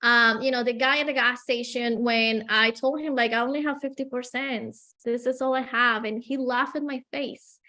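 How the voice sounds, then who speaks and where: A woman from Colombia with a Colombian Spanish accent, Spanish her first language, telling her story as an interview guest in an energetic, earnest way with frequent fillers. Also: a little high, normal speed, few pauses.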